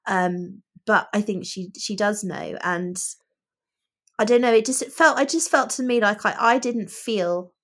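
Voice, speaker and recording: A woman co-hosting a casual book discussion, giving her opinions in a reflective, measured way. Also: medium pitch. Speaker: book podcast host.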